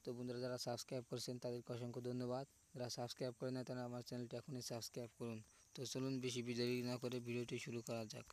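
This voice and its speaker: A man's voice: mid-range voice, monotone, racing, a little mellow. A man hosting a video channel, speaking casually and repetitively to viewers as friends. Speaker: YouTube channel host.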